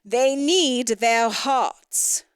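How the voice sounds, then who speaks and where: A woman's voice: on the high side, very slow, clean tone, no echo, studio quality, voice pushed up. A woman voicing an imperious, cold snow queen in a storybook tale, haughty and commanding. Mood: stern, demanding. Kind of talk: storybook character voice in audio theatre.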